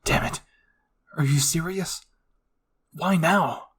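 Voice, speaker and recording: A man's voice: on the high side, slow, a bit bright, slightly rough, full character voice. Man acting a hot-headed teenage boy soldier. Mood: frustrated. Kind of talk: anime fan-fiction roleplay.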